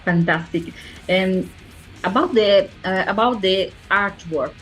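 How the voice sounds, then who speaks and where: Slow, halting non-native English woman interviewer. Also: medium pitch, soft background music, rough recording. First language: not English. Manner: halting, searching for English words. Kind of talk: music interview questions.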